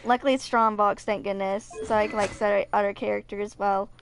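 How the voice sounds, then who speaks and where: A woman's voice: medium pitch, little pitch movement, fairly quick, gravelly, over music. A woman in her twenties doing casual gaming commentary and reading aloud, sometimes tripping over her words. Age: 20s. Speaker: video game streamer.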